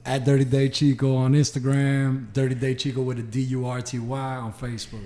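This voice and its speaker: A man's voice: mid-range voice, little pitch movement, brisk, dark tone. A man co-hosting a podcast, chatting casually in blunt, profane street slang. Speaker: podcast co-host. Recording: echoey room with faint noise.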